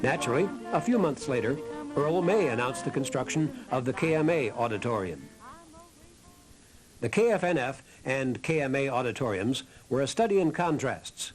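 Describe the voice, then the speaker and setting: A man's voice: mid-range voice, expressive, moderate pace. A man reading a scripted historical documentary narration. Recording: narration over background music. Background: music.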